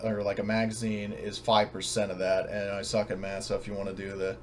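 A man narrating and refereeing a tabletop game as game master, halting and often correcting himself, over an online voice chat. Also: on the low side, completely flat delivery. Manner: halting, hedging, self-correcting. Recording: noisy online voice chat recording.

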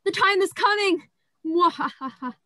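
Woman playing a high-pitched, deranged asylum patient. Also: unhurried. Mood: menacing fervor. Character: deranged male asylum patient, pleading, gleeful, zealous. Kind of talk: radio play acting.

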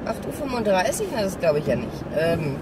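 A middle-aged woman chats casually to camera while driving, halting and distracted, with grumbling asides. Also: fairly low pitch, very animated. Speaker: vlogger. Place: inside a small car while driving. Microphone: phone or camera mounted on dashboard. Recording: distant mic, loud car and road noise. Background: car and traffic noise, navigation voice.

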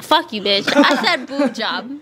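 A woman's voice: fairly high pitch, lively intonation, a little slow. A young woman answering interview questions outdoors at night, brash and crude, laughing often.

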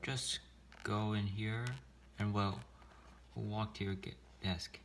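A man as a gentle, pleading police chief. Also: fairly low pitch, little pitch movement, slow pace, slight background noise. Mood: sad, tender. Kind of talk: children's storybook read-aloud.

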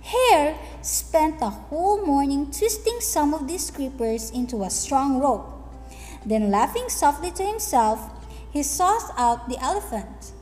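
A woman teacher with a high, lively voice gives an instructive lesson. She speaks slowly and deliberately in a reverberant space with faint music. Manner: deliberate, instructive, with check-in questions. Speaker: English teacher. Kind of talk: explanatory lesson with storybook reading. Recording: echoey hall-like room, faint music behind.

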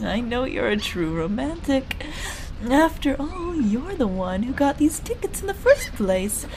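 Woman playing an excitable high-voiced newlywed wife. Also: unhurried, a little hiss or hum, full character voice, rough recording. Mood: excited. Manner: gushing, dramatic. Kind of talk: amateur radio play acting, 1950s period drama.

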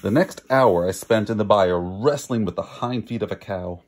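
A man's voice: fairly low pitch, moderate pace, darkish tone. A man reads a picture book aloud in a theatrical way, drawing out words for emphasis. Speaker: storybook reader.

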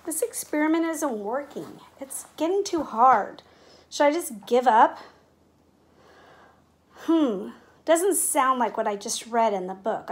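A middle-aged woman teacher speaking in simple, clear phrases to young children, as in a read-aloud or classroom demonstration. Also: high voice. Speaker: teacher making videos for pupils. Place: indoors at home, living room and kitchen. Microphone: device mic at about arm's length.

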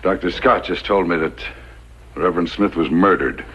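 An actor playing a mild, genial older frontier preacher in 1950s radio style, gentle and slightly halting. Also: male voice, deep voice. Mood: troubled. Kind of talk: 1950s radio western acting. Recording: muffled, hissy old radio recording.

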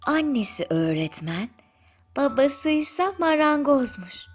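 Slow, high-voiced woman telling bedtime stories. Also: very animated. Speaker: bedtime story narrator. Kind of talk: scripted bedtime fairy-tale narration for children. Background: music.

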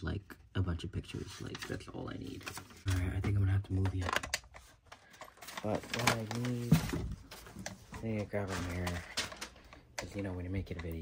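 A young man in his twenties talks loosely to his camera while setting up a home gym, with lots of fillers and casual swearing. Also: low voice. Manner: rambling, loose and cursing, with many fillers. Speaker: vlogger. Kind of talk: casual chat. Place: small home gym and room with colored lights, indoors. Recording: clicks and clear room noise.

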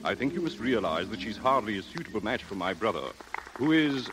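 A man's voice: fairly low pitch, expressive, a little fast, lightly acted, rough recording. An actor playing a stuffy, wealthy, snobbish man with a formal, pompous, hesitant manner, in 1930s radio style. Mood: haughty. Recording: 1930s radio recording with music.

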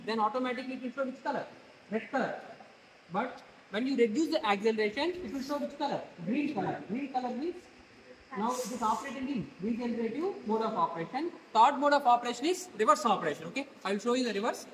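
A man's voice: very high-pitched, reverberant, a little hiss or hum, low-quality recording. A man in his thirties with an Indian English accent, demonstrating a scooter to a class and quizzing students with questions as he goes. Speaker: instructor. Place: large open workshop hall with students.

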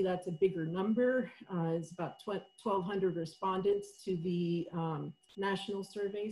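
A woman's voice: medium pitch, a little mellow, low-quality recording. A woman from El Salvador presents a college webinar over a computer microphone on a video call. She speaks thoughtfully with many small fillers and restarts, often turning statements into questions with "right?". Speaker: college professor presenting survey findings.

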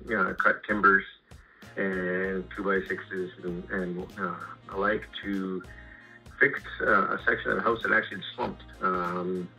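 A middle-aged Canadian man chatting casually and haltingly about his projects over a muffled phone call, rambling and repeating words. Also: deep voice, bright, forceful tone. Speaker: homeowner and sawmill customer. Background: music.

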